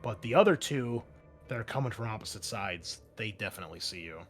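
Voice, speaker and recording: Male game master, fairly low register, conversational narration for tabletop play, faint music underneath. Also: lively intonation, fairly quick. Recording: music playing behind the voice. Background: background music.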